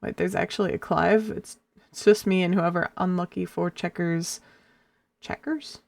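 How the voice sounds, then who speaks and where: A woman streamer with a gravelly voice, chatting casually and rambling to herself between laughs. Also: on the low side, fairly even intonation, moderate pace, darkish tone, no room sound. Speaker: live streamer.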